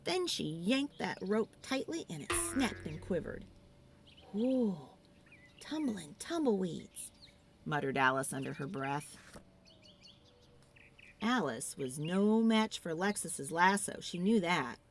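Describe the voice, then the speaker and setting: A woman narrating a children's storybook in an expressive, theatrical read-aloud style. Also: on the low side, measured pace, a little hiss or hum.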